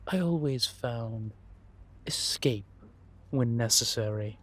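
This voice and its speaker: A man playing a world-weary, wry and menacing vampire intelligence operative in an audio roleplay, confiding in a measured, drawn-out way. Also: mid-range voice. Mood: weary, brooding. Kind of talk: roleplay audio drama monologue addressed to a listener.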